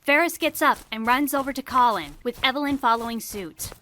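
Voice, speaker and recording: A woman's voice: high-pitched, a little fast, heavy background noise. A woman reading scripted storybook narration for an audio drama.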